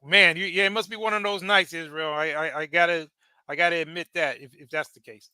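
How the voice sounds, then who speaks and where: A man's voice: a little high, fairly even intonation, a little fast, pushed and bright, smooth, dry recording. A man from the United States hosting a live podcast, casually commenting on a video with stammered restarts and laughing asides. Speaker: podcast host reacting to a video.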